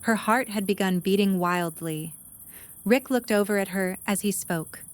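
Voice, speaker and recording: A woman's voice: medium pitch, unhurried. A woman narrating a scripted audio drama story in prose.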